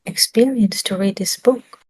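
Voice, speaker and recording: A woman's voice: mid-range voice, unhurried, a little mellow, slightly rough. Woman giving a searching spiritual talk. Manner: searching for words, rhetorical questions. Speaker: spiritual teacher.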